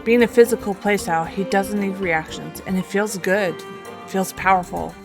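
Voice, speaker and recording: A woman's voice: mid-range voice, fairly even intonation, normal speed. A woman reading scripted narration of a video game story as a storyteller. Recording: voice-over with music bed. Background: music.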